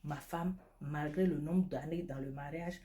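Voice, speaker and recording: A woman's voice: low-pitched, fairly even intonation, a little slow, mellow, slight background noise. A woman whose first language is not French, giving emphatic, preachy beauty and self-care advice straight to her viewers. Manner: preachy, emphatic, addresses viewer as tu, repeats key points. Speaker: beauty advice vlogger selling skincare products. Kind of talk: direct-to-camera advice talk.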